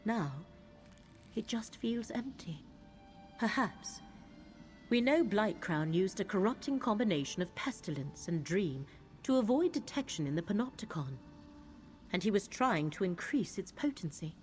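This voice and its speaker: An actress playing a grave, troubled woman mystic in a fantasy video game, speaking in a measured, formal way. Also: mid-range voice, very animated, a little mellow, faint music behind. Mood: wistful, troubled. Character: grave, troubled woman mystic serving an eldritch fate god.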